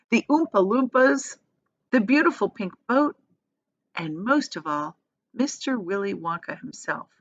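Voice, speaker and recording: A woman's voice: a little high, no echo, dull audio. A woman reading a children's story aloud expressively, with emphatic delivery that lingers on descriptive words. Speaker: storybook reader.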